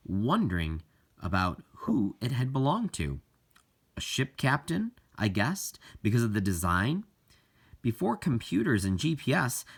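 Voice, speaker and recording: A man reading a children's novel aloud as scripted narration, with deliberate, halting phrasing. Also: mid-range voice, fairly bright tone, husky. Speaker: audiobook reader.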